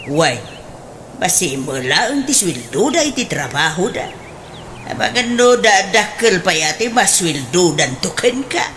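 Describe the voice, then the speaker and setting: A woman playing a gentle, fretful elderly mother in a radio drama, with an Ilocano accent and measured, drawn-out speech. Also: lowish voice, very animated. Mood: motherly, cautious. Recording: faint background noise.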